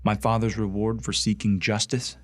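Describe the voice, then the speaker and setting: Guy acting as angry bigoted young space marine applicant, radio play monologue, lowish dry voice, intense with dramatic pauses. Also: little pitch movement, dry recording, lightly acted. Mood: angry, grieving. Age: young adult. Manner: intense, indignant, with heavy pauses and sudden outbursts. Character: bitter, bigoted young man seeking to join a space marine corps.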